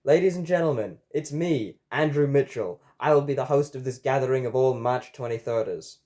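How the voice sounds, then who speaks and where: A man's voice: mid-range voice, normal speed, warm tone, natural voice. A man voicing a pompous, chummy male politician who hosts, in a comic storybook reading. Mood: pompous welcome.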